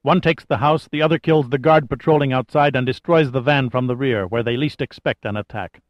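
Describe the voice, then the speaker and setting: A man's voice: medium pitch, brisk, no room sound, muffled recording, lightly acted. A man voicing a scowling, ruthless, hot-tempered terrorist leader in an audiobook thriller, arrogant and commanding. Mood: impatient, commanding. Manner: hissing, commanding, snapping.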